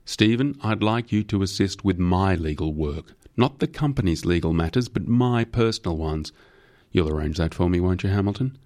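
A man's voice: deep voice, animated, moderate pace, a little mellow. A man voicing a powerful, jovial company boss in an audiobook, blunt, emphatic and forthright. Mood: brisk, commanding.

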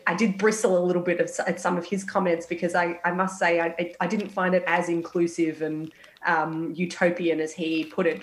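A young woman on a radio health panel, a final-year medical student, talking frankly and a little croaky from a cold. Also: fairly low pitch, reverberant, slight background noise, low-quality recording. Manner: frank, reflective, many restarts. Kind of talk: casual radio panel chat.

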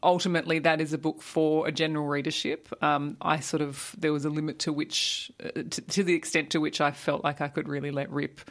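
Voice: medium pitch, flat delivery, brisk, few pauses, a bit bright. Eager, chatty radio guest. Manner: eager, chatty, rambling with fillers and asides. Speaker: writer and radio co-host, studio guest. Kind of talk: enthusiastic radio interview chat. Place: radio studio. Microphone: studio microphone.

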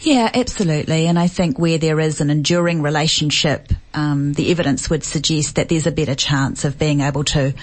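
Measured New Zealand woman, lowish voice. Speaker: health complaints researcher, studio guest. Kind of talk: radio interview.

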